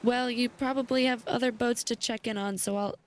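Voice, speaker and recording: Woman acting an anxious young niece, high-pitched. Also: fairly even intonation, brisk, a little hiss or hum, close to an everyday voice. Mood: nervous, evasive. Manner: hesitant, evasive. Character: anxious, defensive young niece captaining a small boat. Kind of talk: audio drama dialogue.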